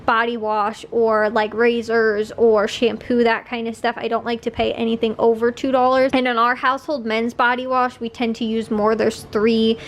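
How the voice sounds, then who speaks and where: A woman's voice: a little high, level tone, moderate pace, few pauses. A young woman vlogger chatting casually, rambling with lots of fillers and a slightly sniffly voice. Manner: chatty, rambling with many fillers and little laughs. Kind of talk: casual chat.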